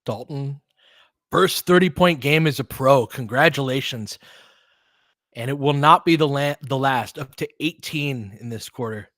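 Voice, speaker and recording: A young man calling a basketball game live for his listeners, mid-pitched and excitable. He talks to the audience between plays with quick asides and frequent laughs. His voice sounds close and dry, with no room echo. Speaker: fan play-by-play host. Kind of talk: live play-by-play commentary and chat.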